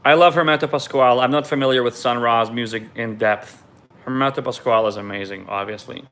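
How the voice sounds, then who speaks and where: A man's voice: medium pitch, fairly even intonation, brisk, fairly bright tone. A man from Ukraine, raised in Israel, reads viewer questions aloud on a live stream and answers them in a loose, candid way.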